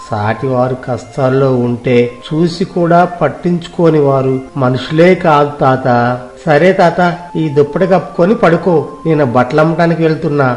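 A man's voice: mid-range voice, darkish tone, lightly acted. A man playing a kind-hearted young village cloth pedlar in an audio moral story. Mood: humble, caring. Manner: sing-song drawn-out street calls when hawking. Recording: echoey room sound, music behind.

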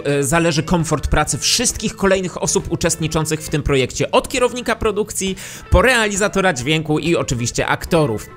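A man's voice: fairly high pitch, a bit bright. A man in his thirties presenting a scripted vlog to camera on a close studio microphone. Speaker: YouTube host. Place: small decorated home studio. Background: faint music.

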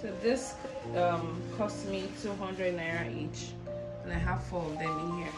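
A woman with a Nigerian accent chatting casually in her home kitchen as a cooking vlogger, pausing with "um" as she recalls prices. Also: lowish voice, fairly even intonation. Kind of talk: casual on-camera chat. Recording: loud background music.

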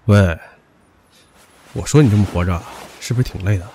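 An actor playing a hot-tempered, possessive young rich heir in an audio drama, brash and taunting. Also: male voice, on the low side, normal speed, dark tone, slight background noise, close to an everyday voice. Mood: teasing. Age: young adult. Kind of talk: Chinese audio drama acting.

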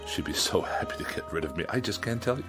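Querulous male hypochondriac, radio drama; lowish, highly animated, self-pitying, music underneath. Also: quick pace. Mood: bitter, ranting. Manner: melodramatic, demanding, indignant. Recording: music behind the voice.

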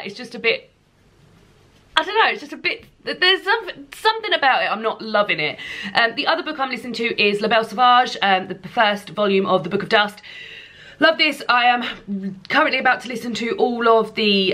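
A young woman with a British accent talks casually, as if to her viewers. Her voice is bright and forward at a medium pitch. She rambles in a gushing, emphatic way, in the style of an informal vlog. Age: young adult. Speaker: book vlogger. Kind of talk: casual chat to camera. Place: indoor room lined with bookshelves.

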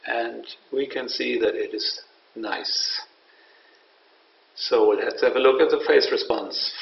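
Male software demonstrator, mid-pitched, measured and matter-of-fact, recorded in a roomy, echoing space. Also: gravelly. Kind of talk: unscripted software tutorial narration. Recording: roomy, distant mic, dull, faint noise.

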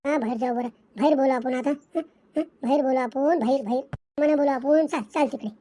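Cartoon husband, played by a man: very high, fast, flustered, pleading, rural Maharashtrian Marathi accent. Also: mellow, slight background noise. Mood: coaxing. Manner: repetitive, insistent. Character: pleading, flustered cartoon husband caught between two wives. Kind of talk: cartoon comedy dialogue.

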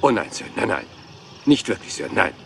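A man's voice: low voice, sing-song, moderate pace, vocal fry, fairly bright tone, slight background noise, full character voice. A man playing a rumpled, polite police inspector who talks hesitantly and deferentially, calling everyone sir, in a dubbed crime series. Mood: polite, probing.

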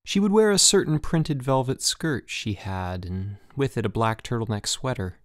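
A man narrating a literary short story as an audiobook, with measured, deliberate phrasing and careful pauses. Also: mid-range voice, lively intonation, no room sound, studio quality.

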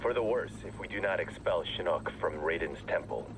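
Very deep-voiced, stoic warrior. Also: male voice, expressive. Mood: grave. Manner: measured, solemn. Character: grave, stoic male warrior swordsman. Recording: clear vehicle noise. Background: vehicle rumble.

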